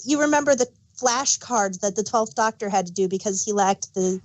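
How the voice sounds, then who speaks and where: A woman's voice: medium pitch, moderate pace. A woman co-hosting a casual fan discussion, rambling and searching for words as she gives emphatic examples. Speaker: podcast co-host.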